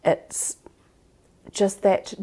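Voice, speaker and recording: A woman's voice: drawn-out words, warm tone. A woman explaining her field in a reflective interview, choosing her phrasing carefully and hesitantly. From Singapore-born, living in Auckland, New Zealand. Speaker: expert on supporting sexual violence survivors.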